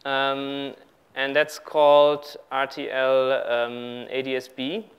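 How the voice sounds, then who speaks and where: A man in his thirties speaking haltingly as he improvises a live technical demonstration as a conference speaker. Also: medium pitch, monotone, clean tone, dull audio. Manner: halting, improvising while working at a laptop. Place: large auditorium on stage with audience.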